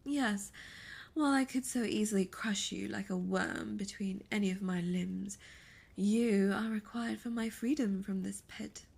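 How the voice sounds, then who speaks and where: A woman voicing a seductive, imperious demon succubus in an ASMR roleplay, breathy and often whispering, with formal, teasing, menacing diction. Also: medium pitch, a little slow, darkish tone. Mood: commanding, teasing.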